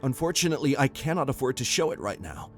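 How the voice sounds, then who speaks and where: A man's voice: mid-range voice, big pitch swings, fast talker, faint music behind, natural voice. A man voicing an urgent, protective husband in an audio sci-fi story, speaking emphatically. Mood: reassuring, grave. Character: urgent, protective husband fleeing alien invasion. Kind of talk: audio story dialogue acting.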